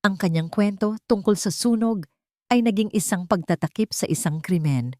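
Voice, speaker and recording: A woman's voice: medium pitch, normal speed, a little mellow, no echo. A woman reading a dramatic first-person story as a scripted audiobook narration.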